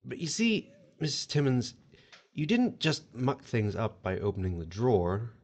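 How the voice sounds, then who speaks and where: A man's voice: medium pitch, very animated, moderate pace. An actor playing an officious otherworldly male collector of souls in an audio horror drama, wry and formal as he explains procedure. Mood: officious, explaining.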